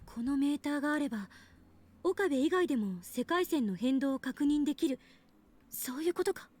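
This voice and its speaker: A skeptical young woman scientist in anime game style. Her voice is high and very lively, with a brisk, critical, fast delivery. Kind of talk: Japanese visual novel voice acting.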